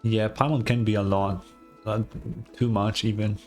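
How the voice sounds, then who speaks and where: Man, deep-pitched voice, casual reaction commentary, blunt, puzzled game streamer, quiet music behind. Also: level tone, normal speed. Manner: blunt, incredulous, swearing.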